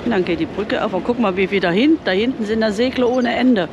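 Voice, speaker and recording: A woman's voice: on the high side, quick pace, dull audio, background noise. A woman chatting casually about the lock and the harbor while out on a boat. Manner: chatty, comments with "ne?". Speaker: boat vlogger. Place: outdoors on a boat.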